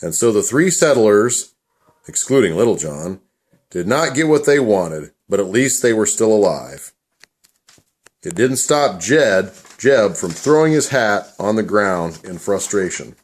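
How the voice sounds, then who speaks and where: Male narrator for a children's puppet tale, low register, highly animated melodic delivery. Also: a little slow. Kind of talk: scripted storybook narration for a puppet show.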